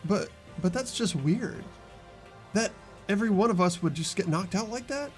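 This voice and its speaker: A man's voice: sits high, expressive, moderate pace, faint music behind. A man playing an ordinary, earnest and uneasy teenage schoolboy narrating a fan-voiced game. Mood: puzzled. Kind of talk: amateur voiced visual novel narration and dialogue.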